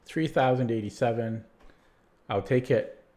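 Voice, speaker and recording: A man's voice: mid-range voice, unhurried, mellow. Tired man streaming chess puzzles. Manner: tired, rambling, many restarts and fillers. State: losing his voice. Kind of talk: casual live-stream chat.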